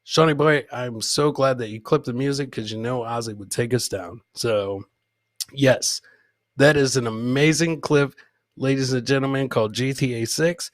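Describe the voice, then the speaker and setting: A man's voice: medium pitch, normal speed, no echo. Guy hosting a live comedy podcast, dry close sound, rambles and swears, plays up to the audience. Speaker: podcast host. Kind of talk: casual live show banter.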